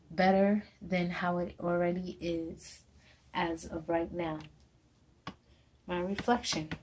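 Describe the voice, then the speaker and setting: A young woman from Jamaica with a Jamaican accent, slowly reading a personal essay to a webcam in a small room at home. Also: on the low side, mellow. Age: young adult. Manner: slow, halting reading with restarts. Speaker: student. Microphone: webcam or laptop camera close up.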